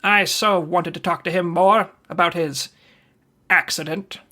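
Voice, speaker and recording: An actor playing a wry, dogged private investigator in the hard-boiled style of 1940s radio drama. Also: male voice, high voice, steady tone, a little slow, sharp tone. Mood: wry, needling. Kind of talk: 1940s radio detective acting and narration.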